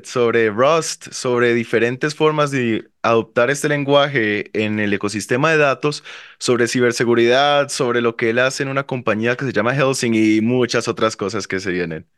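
A man's voice: medium pitch, a bit bright, no echo, studio quality. A man co-hosting a podcast who speaks in an upbeat, casual way with brief remarks. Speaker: podcast co-host. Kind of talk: casual conversation.

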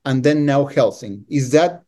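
A man's voice: mid-range voice, unhurried, mellow. A man hosting a podcast, asking questions in a friendly, conversational way with many fillers. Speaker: podcast host. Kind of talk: casual conversational interview.

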